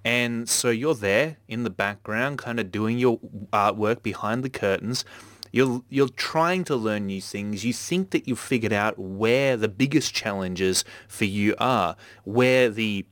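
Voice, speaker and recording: A man hosting a podcast, talking at length in a relaxed, reflective way with many fillers. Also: fairly low pitch, unhurried, no room sound. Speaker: podcast host. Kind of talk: casual podcast chat.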